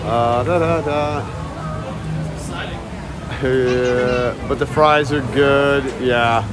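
A man talking loudly and casually to viewers as he live-streams while walking around. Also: mid-range voice, fairly even intonation, very slow. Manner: loud, chatty, talks to viewers and chat. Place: outdoors at a busy shopping area, later indoors. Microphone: phone held while walking. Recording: phone audio with crowd noise. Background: crowd chatter.

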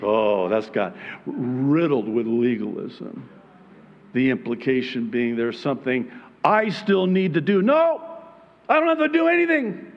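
A middle-aged man whose first language is Arabic preaches from a church pulpit in an unhurried, deliberate way. He jokes with the congregation and climbs to loud, emphatic peaks. The recording sounds slightly dull and lo-fi. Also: mid-range voice, animated. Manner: humorous, rising to emphatic near-shouting peaks. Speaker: pastor. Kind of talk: sermon. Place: church stage behind a pulpit. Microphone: pulpit microphone.

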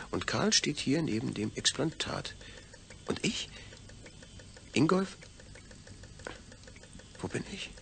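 An actor playing a pensive astronaut in a deliberate, theatrical audio drama soliloquy. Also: male voice, on the low side, gravelly, bright, forceful tone, full character voice. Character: pensive astronaut man puzzling over his own detached brain. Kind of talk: German audio drama monologue.

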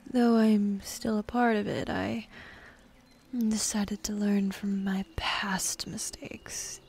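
A young woman voicing a bossy, teasing demon woman in an intimate, half-whispered ASMR roleplay, with a husky, breathy voice and a sardonic drawl. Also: mid-range voice, level tone, slow pace, a little mellow, slight background noise. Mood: teasing, smug.

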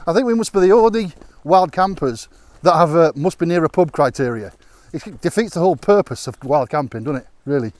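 A man's voice: on the high side, animated, brisk, darkish tone, dry recording. A middle-aged man, bantering and swearing casually on a woodland hike, filmed on a handheld camera outdoors. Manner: joking, swears freely. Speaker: vlogger. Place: outdoors in a riverside wood. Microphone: handheld action camera. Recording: background guitar music.

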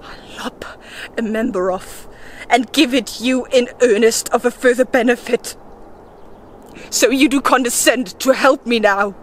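Over clear background noise, a woman acts out a desperate young warrior woman in a Shakespeare monologue. The voice is fairly high and very unhurried, theatrical, moving between hushed and imploring delivery. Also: close to an everyday voice. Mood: desperate pleading. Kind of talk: solo Shakespeare monologue, dramatic recitation.

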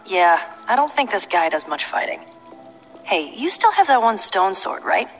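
Animated, tough young adventurer woman. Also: mid-range voice, normal speed, faint music behind, rough recording. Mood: casual, dry. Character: tough, principled, suspicious young female adventurer. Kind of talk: video game voice acting.

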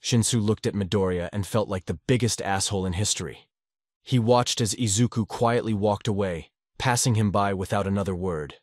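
Low-voiced man narrating fanfiction. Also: normal speed, darkish tone, no room sound, clean studio sound. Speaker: audiobook narrator.

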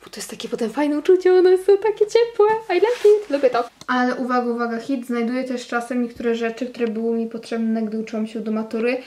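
Woman, 20s, from Poland. Gushing casual vlogger on a close handheld camera, slightly high voice, roomy audio. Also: steady tone, mellow. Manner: chatty, gushing, quick asides to viewers. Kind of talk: casual vlog chat to camera. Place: small bedroom at home. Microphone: handheld camera held close.

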